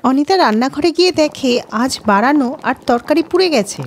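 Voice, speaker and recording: A woman narrating a scripted children's story in an expressive storytelling manner. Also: sits high, a little fast, slight background noise.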